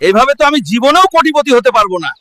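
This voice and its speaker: A man voicing a brash, vengeful, money-hungry Hulk in a cartoon, loud and declamatory. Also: very high-pitched, lively intonation, racing, no echo. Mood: aggrieved. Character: brash, vengeful, cash-hungry male Hulk, a jilted underdog. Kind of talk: exaggerated cartoon story dialogue.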